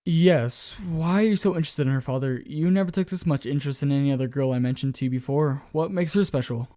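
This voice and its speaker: A man's voice: medium pitch, fast talker, darkish tone, no echo, studio quality, natural voice. A man voicing a defensive, curt young vampire son in an amateur roleplay. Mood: guarded.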